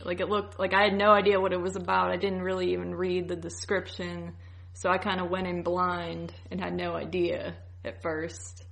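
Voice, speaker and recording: A woman chats as a podcast co-host in a slightly low voice. Her delivery is informal and slangy. She phrases opinions tentatively and conversationally. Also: flat delivery, moderate pace, creaky, a bit bright. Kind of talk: casual chat.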